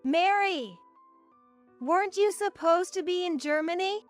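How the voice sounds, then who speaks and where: Woman acting astonished, worried grandmother, raised pitch. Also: unhurried, soft background music. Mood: surprised, worried. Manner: startled, urgent. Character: astonished grandmother finding her abandoned grandchild.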